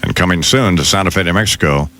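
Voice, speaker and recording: A man hosting a morning radio show with loose banter, speaking in a halting, drawling, needling manner. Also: sits low, fairly bright tone. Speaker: radio talk show host.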